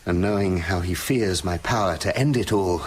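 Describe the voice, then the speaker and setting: An actor with a British accent playing a tormented scientist with a sinister other self, speaking formally and intensely in a radio play. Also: male voice, sits low, moderate pace, slight background noise, lightly acted. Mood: weary, haunted. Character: tormented male scientist slipping into his menacing, sinister alter ego. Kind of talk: dramatic radio play acting, confessional monologue.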